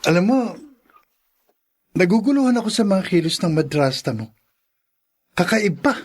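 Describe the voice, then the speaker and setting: An actor playing an earnest, devoted young man with a husky voice in a radio drama. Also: sits high, big pitch swings, moderate pace, exaggerated voice. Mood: puzzled. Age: young adult. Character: devoted, puzzled young boyfriend.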